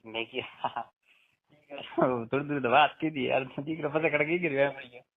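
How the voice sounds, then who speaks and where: A man's voice: medium pitch, steady tone, moderate pace. A man with a Marwari accent from western Rajasthan chatting casually on a private phone call. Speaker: phone caller. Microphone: telephone line. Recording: tinny phone call recording.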